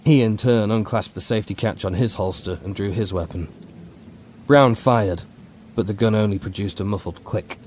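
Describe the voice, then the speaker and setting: A man with a very low voice narrates a horror audiobook. His delivery is measured and dramatic, recorded with faint noise behind it. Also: a little mellow.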